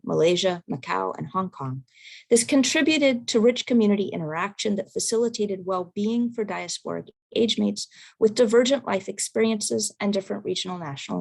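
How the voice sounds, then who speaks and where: A woman reads a prepared research paper aloud over a video call. Her voice sits in a middle pitch with a gravelly, fry-tinged edge. She keeps a careful, measured pace. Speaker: academic presenter. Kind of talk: reading a prepared research talk.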